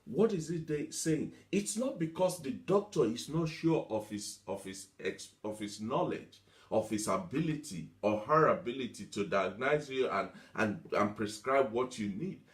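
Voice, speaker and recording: A man's voice: mid-range voice, expressive, a little slow, few pauses. A man preaching a motivational teaching sermon, emphatic, repeating himself and asking rhetorical questions. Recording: echoey room.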